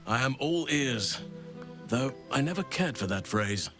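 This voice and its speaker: An actor playing a gentle, wise, warm-hearted druid in a fantasy video game, speaking in formal, courtly, unhurried phrases. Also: male voice, mid-range voice, lively intonation, close to an everyday voice. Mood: playfully earnest. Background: music.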